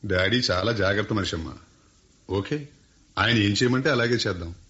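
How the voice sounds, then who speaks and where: An actor playing a questioning young adult son in a radio play, talking in casual urban speech sprinkled with English. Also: male voice, fairly low pitch, normal speed, bright, forceful tone. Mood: puzzled. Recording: dull lo-fi radio recording.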